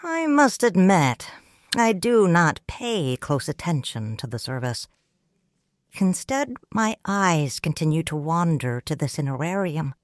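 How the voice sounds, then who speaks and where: A low-voiced woman narrates an audio drama as a proud, grieving noblewoman. Her delivery is slow and formal, with expressive swings in pitch, recorded very dry and close. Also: no room sound. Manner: measured, solemn, formal. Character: grieving, proud noble widow, mature and restrained. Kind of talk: scripted first-person audio drama narration.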